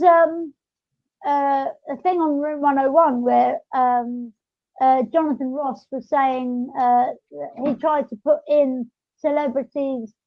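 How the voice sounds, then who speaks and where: High-pitched podcast host, muffled sound. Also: dry recording. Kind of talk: casual podcast chat. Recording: muffled lo-fi audio.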